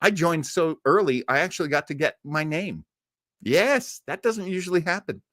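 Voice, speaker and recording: A man's voice: a little high, animated, normal speed, fairly bright tone, no echo, clean studio sound. A man in his early sixties hosting a livestream, chatting casually with viewers in a rambling way with jokey asides. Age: early 60s. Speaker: host of a livestreamed classic radio listening show.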